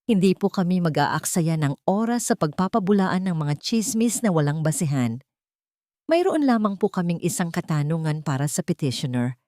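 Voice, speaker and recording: A woman storyteller plays a Filipino male defense lawyer in an audio drama story. He is calm and authoritative, speaking formally and deliberately, with expressive pitch and a dry sound. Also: dry recording, close to an everyday voice. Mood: calm, assured.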